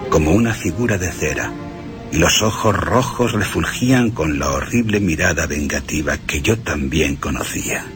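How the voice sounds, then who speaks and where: Deep-voiced man narrating with wide intonation. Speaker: radio presenter. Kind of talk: scripted film-history narration with dramatic readings.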